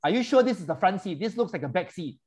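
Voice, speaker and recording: Man, tour host, fairly high voice, animated, switches languages, cuts in often. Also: fast talker, slightly rough. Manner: animated, interrupts, switches between English and Mandarin. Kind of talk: bilingual virtual tour chat for seniors.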